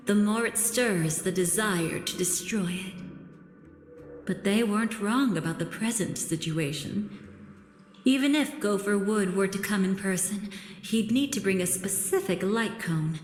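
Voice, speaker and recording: A woman's voice: medium pitch, expressive, unhurried, lightly acted. A woman voicing an enigmatic, elegant young woman in a video game, coolly playful and teasing, musing in a languid, coy, theatrical way. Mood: measured explaining. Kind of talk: scripted video game voice acting. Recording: roomy long reverb with music behind.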